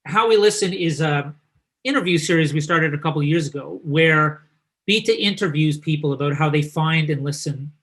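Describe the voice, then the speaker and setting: A chatty, upbeat man with a Canadian accent hosts a live online session, speaking in a voice pitched a little higher than most men's. He sounds like he's talking over a video call. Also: moderate pace, fairly bright tone. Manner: chatty and upbeat, teasing his guest. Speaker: host and company founder. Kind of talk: live online talk and presentation.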